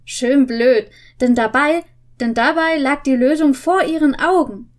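A woman's voice: sits high, a little slow. A young woman voicing eager boy detectives while reading storybook dialogue aloud with emphasis. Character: excited, sure-minded boy detectives in a story.

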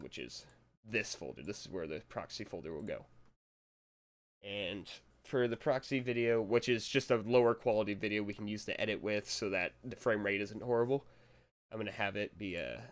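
A young man talks through a screen tutorial in a relaxed, rambling way. His voice sits fairly low and is a little hoarse from a cold. He chuckles to himself now and then. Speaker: tutorial maker. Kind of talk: casual screen-recorded tutorial.

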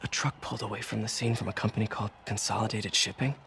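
A man's voice: lowish voice, steady tone, fast talker, creaky, fairly bright tone. An actor with an American accent playing an earnest, awkward young man who talks hesitantly in a video game. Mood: uneasy, hushed. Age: young adult. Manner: hesitant, tentative.

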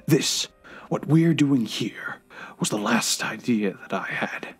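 A man playing a bitter, regretful, dying old grandfather in an audio drama, with a husky, breathy voice and halting delivery. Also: medium pitch. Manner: slow, halting. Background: music.

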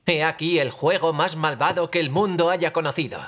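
Man as a melodramatic, high-voiced gamer boy. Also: moderate pace, a bit bright, natural voice. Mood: ominous drama. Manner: ominous, theatrical, repetitive. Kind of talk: animated children's cartoon dub.

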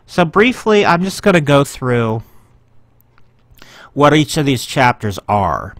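A man's voice: mid-range voice, big pitch swings, slow, no room sound. A man casually walking viewers through his website in a screen-share, rambling a little with frequent ums and self-corrections. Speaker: website owner giving a tour.

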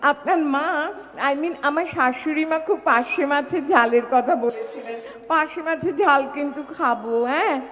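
High-voiced, teasing young bride-to-be, reverberant. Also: female voice, moderate pace, a bit bright. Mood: chatty, demanding. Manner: coy, chatty, playfully bossy. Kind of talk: audio drama acting.

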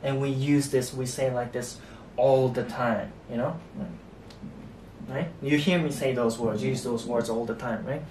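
A young man with a Japanese accent, teaching a relaxed whiteboard lesson and tagging his sentences with a soft "ne" and "you know". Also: measured pace. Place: small indoor room at a whiteboard. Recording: echoey room, distant mic, faint rumble.

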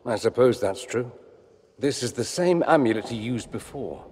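A man's voice: mid-range voice, lively intonation, normal speed, a little mellow, lightly acted. A man playing an urbane, earnest mage in a video game, articulate and theatrical in delivery. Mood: relieved, focused. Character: urbane, expressive male mage, earnest and dismayed. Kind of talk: dramatic video game acting. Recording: faint background noise and ambience.

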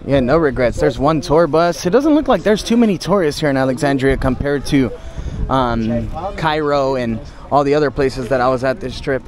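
A man's voice: mid-range voice, animated, moderate pace, a crowd in the background. A man in his thirties chatting to the camera as a travel vlogger, filming himself at arm's length on a small boat outdoors. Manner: chatty, talks straight to camera, opinionated asides. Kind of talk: casual travel vlog. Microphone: action camera held at arm's length. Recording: outdoor wind and boat noise. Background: wind and water noise.

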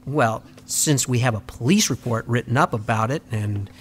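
A man's voice: mid-range voice, normal speed, background noise, close to an everyday voice. An actor playing a reluctant, flustered young man as a witness, hesitant and stammering, in a comic audio drama.

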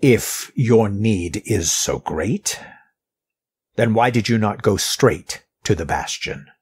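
An actor playing a guarded, courteous middle-aged mortician in an audio drama, speaking in formal, measured phrases with a husky, rough voice. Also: male voice, fairly low pitch, animated, darkish tone, heavily acted. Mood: stern, formal.

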